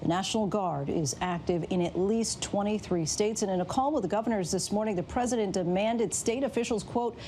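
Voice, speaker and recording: A woman's voice: mid-range voice, fairly quick, hardly any pauses, warm tone. Woman reporting news over faint noise.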